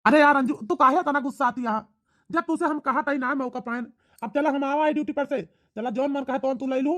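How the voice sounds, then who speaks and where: A man's voice: very high voice, a little fast, a bit bright. A man voicing a proud, defensive young husband in a village cartoon, protesting and making excuses with an Awadhi accent. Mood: placating. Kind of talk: rustic cartoon comedy dialogue.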